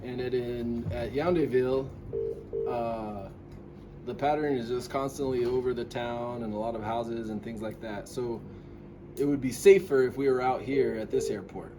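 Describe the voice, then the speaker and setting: Man talking casually over loud background noise. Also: medium pitch, fairly even intonation, moderate pace. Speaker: helicopter pilot and instructor. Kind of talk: casual discussion. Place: airport control tower.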